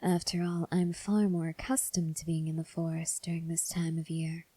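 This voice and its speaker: A woman playing a calm, probing female vampire in an ASMR roleplay, speaking softly and breathily with deliberate pauses. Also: low-pitched, no echo. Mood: knowing, probing. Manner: soft, breathy, measured delivery with deliberate pauses. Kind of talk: ASMR roleplay addressed to the listener.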